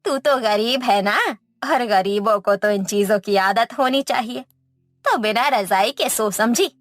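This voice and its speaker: A woman's voice: on the high side, unhurried, dry recording, studio quality, lightly acted. A woman voicing a greedy, cruel woman who taunts her poor in-law in a Hindi cartoon story. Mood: threatening. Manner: scornful, taunting.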